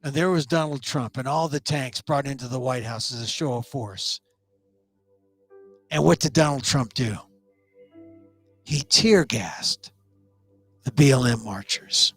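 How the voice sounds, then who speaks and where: A middle-aged man with a hoarse, scratchy voice, hosting a live online talk show and giving loose, opinionated commentary with restarts. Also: medium pitch, a little slow, long pauses, faint music behind.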